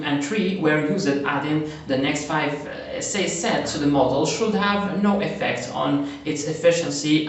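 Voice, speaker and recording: Guy reading his slides, lots of uh's and restarts, mic far off. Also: fairly high pitch. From Morocco. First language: Arabic. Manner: halting, frequent "uh" fillers and self-corrections. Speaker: conference presenter. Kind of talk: reading a prepared research presentation. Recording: very echoey, distant mic.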